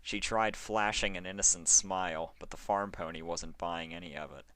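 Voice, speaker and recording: A man with a very low voice and a forceful, bright edge narrates a scripted story. His delivery is measured and dramatic, and the sound is close and dry. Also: fairly even intonation, no echo.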